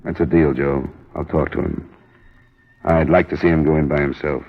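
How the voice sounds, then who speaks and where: A cool, hard-boiled investigator tells his case in a deep voice. His delivery is clipped and deadpan, in 1950s radio drama style, on a dull vintage recording. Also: normal speed. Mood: calm, sympathetic. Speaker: lead actor and narrator.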